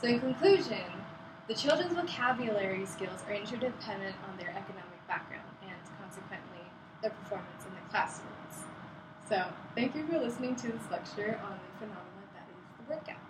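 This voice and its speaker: A young woman delivers a class speech at a middle pitch, earnest and a little scripted, with casual fillers slipping in. Her voice rings in an echoey classroom over a faint background hum. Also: brisk. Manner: earnest, reads prepared points with casual fillers. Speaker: student giving a class speech.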